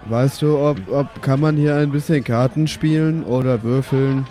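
A man playing a tabletop game, improvising casually with hesitant, drawn-out phrasing. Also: medium pitch, fairly even intonation, warm tone, faint music behind. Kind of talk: casual improvised role-play.

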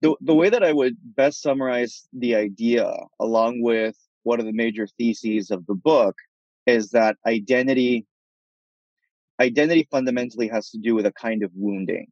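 A man from Puerto Rico speaking as a thoughtful podcast guest, laying out his ideas in articulate, measured phrases. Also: medium pitch, darkish tone, no echo, dull audio. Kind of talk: reflective interview conversation.

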